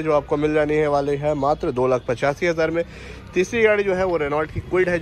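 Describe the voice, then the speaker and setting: A man narrating a promotional walk through used cars for sale, listing models and prices in a brisk, repetitive sales patter. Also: fairly high pitch, slight background noise.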